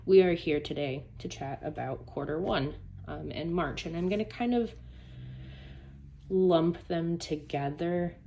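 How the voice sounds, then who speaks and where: A woman with a hoarse, gravelly voice chatting casually at her desk about her planners, rambling through self-deprecating asides. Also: fairly low pitch, unhurried, mellow, a little hiss or hum. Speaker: planner YouTuber. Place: home desk by a sunny window.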